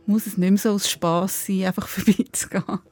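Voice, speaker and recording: A woman's voice: medium pitch, level tone, a little fast, a little mellow, soft background music. A woman chatting casually as a podcast host. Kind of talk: casual chat.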